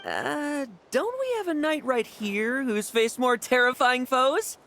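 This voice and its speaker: A man's voice: extremely high pitch, animated, slow pace, gravelly, noisy background, full character voice. An actor playing a cocky, taunting young male knight in an anime-style game. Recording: music behind the voice.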